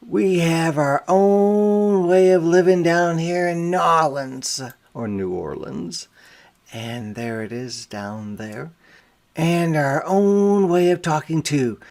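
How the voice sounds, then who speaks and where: A man reading a picture book aloud to young children as a teacher, phrasing deliberately and pausing to explain words simply. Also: fairly high pitch, creaky, a little mellow. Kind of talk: interactive children's read-aloud with explanatory asides.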